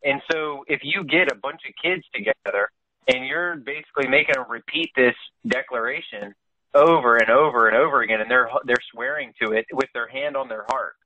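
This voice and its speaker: A man's voice: medium pitch, a little fast, sharp tone, lo-fi sound. A man in an informal political discussion on a conference call, explaining his points and often checking that listeners follow. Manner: explanatory, asks check-in questions like "you know?".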